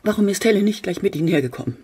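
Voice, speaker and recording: An actress playing a middle-aged, cold-hearted, bitter mother in a radio play, with a cutting, scornful manner and a husky low voice. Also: expressive, rapid-fire, warm tone, faint music behind, natural voice. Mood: wounded, brittle.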